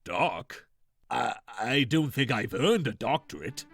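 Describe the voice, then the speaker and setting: A man voicing a gentle, forgetful older male inventor who chuckles and speaks kindly and sounds puzzled. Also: medium pitch, lively intonation, slow, creaky, faint music behind, exaggerated voice.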